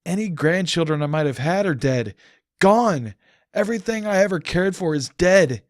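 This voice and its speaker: A man's voice: high voice, animated, normal speed, no room sound, studio quality, voice pushed up. A man voicing a bitter, tormented immortal man in an audiobook, roaring with anguish and confrontation. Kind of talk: dramatic audiobook character acting.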